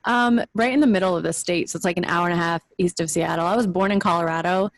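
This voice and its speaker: A woman's voice: on the low side, fast talker, no room sound. Playful woman in her thirties. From Washington State, USA. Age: 30s. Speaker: musician, podcast co-host. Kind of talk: casual podcast chat.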